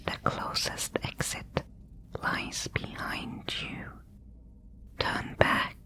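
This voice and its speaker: A woman's voice: drawn-out words, pushed and bright. A woman voicing a wary, territorial giant spider guard in an ASMR roleplay, speaking in a stern, clipped whisper.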